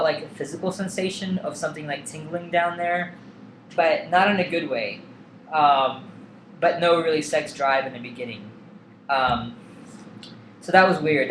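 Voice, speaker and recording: A young man talking casually and candidly to camera, hesitant with many ums and likes. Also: a little high. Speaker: vlogger. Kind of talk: casual personal vlog. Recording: echoey room, faint background hum.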